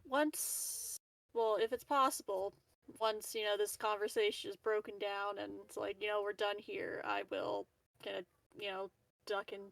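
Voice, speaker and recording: Female tabletop player, gravelly fry, mid pitch, tentative casual delivery, very dry sound. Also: steady tone, normal speed, fairly bright tone, dry recording, poor audio. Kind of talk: casual game chat.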